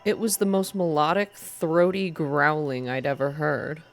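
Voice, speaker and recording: Low voiced lady doing asmr story reading, bit of music in the back. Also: fairly even intonation, a little slow, vocal fry. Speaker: reader of a visual novel game. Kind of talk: soft ASMR reading, scripted story narration with voiced dialogue.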